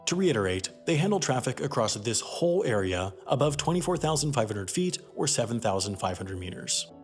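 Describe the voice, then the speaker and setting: A man's voice: medium pitch, sing-song, a little fast. A man narrating a scripted explainer documentary as its host, explaining things with emphatic phrasing. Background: background music.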